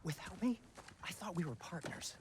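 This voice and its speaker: A man's voice: high-pitched, lively intonation, normal speed, background noise. A man playing a fussy, sardonic but loyal companion in a video game, chatty and theatrical. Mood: sarcastic, concerned. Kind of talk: dramatic video game acting.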